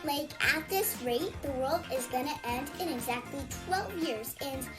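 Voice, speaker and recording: A young girl delivers a scripted parody in a high, childish voice. She talks in a valley-girl style and keeps a deadpan tone. Clear music plays underneath her. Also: moderate pace. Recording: video played with music under it.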